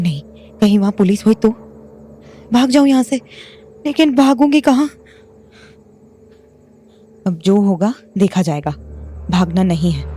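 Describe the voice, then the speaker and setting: A woman's voice: medium pitch, mellow, a little hiss or hum, natural voice. A woman playing a fierce, cornered young wife. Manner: tense, brooding inner monologue.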